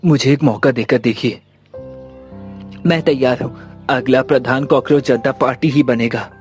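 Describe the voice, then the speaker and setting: A man voicing a wronged, defiant young villager in an animated moral story, speaking in an earnest, declamatory way. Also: mid-range voice, moderate pace, lightly acted. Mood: rallying. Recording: background music under the voice.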